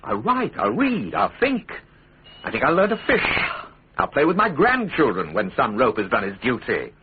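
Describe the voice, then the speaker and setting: An actor playing a wry, principled middle-aged English statesman, measured, dry and lawyerly, in a radio drama. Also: mid-range voice, huge pitch swings. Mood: wry, insistent. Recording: phone-like lo-fi radio recording, faint noise.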